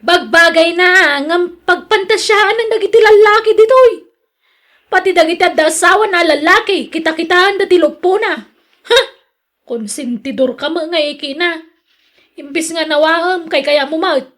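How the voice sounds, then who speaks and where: A woman's voice: very high voice, moderate pace, a bit bright. A woman with an Ilocano accent acting as a jealous, suspicious wife in a radio drama, insistent and scolding. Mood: indignant, scolding.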